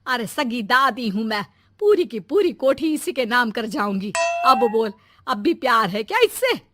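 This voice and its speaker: A woman's voice: a little high, expressive, normal speed, lightly acted. A woman playing a scheming old mother-in-law and grandmother in a moral-story cartoon, scolding and sarcastic. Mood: bitter, sarcastic.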